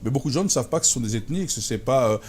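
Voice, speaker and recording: A man's voice: mid-range voice, expressive, rapid-fire, darkish tone, a little hiss or hum. A man hosting a talk show, interviewing his guest in a conversational way and readily chiming in with his own points.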